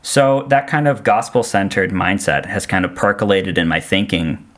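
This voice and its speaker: A man's voice: deep voice, moderate pace, a bit bright. A man from Austria whose native language is German, chatting casually as a podcast guest with a dry, self-deprecating manner. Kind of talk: casual conversation.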